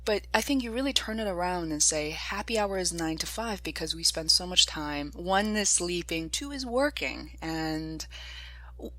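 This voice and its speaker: A woman's voice: fairly low pitch, lively intonation, normal speed, pushed and bright, poor audio. Upbeat woman hosting a podcast. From China. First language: Chinese. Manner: enthusiastic, chatty, laughs often. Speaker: podcast host. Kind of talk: podcast interview.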